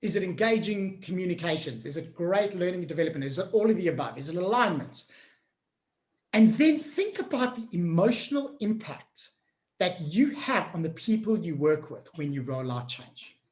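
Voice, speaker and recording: Lively, encouraging woman trainer in an echoey room. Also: on the low side, moderate pace. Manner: upbeat, encouraging, talks to the group directly. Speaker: change management trainer hosting a webinar. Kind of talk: online workshop coaching.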